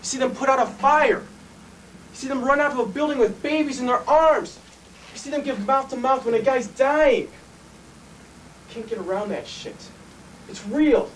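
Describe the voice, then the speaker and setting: A man's voice: very high-pitched, moderate pace, long pauses, distant mic, a little hiss or hum, poor audio. An actor playing an intense city fireman and former cop, blunt and profane in a dramatic stage monologue. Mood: impassioned. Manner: profane, blunt, colloquial storytelling.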